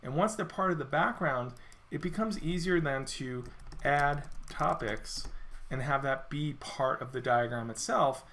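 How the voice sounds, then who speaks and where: A man walking through a software feature in a screen-recorded demo, explaining step by step, his voice a little worn as he clears his throat. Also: a little high, unhurried, a little hiss or hum. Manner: relaxed explanatory walkthrough with self-corrections. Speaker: software product presenter.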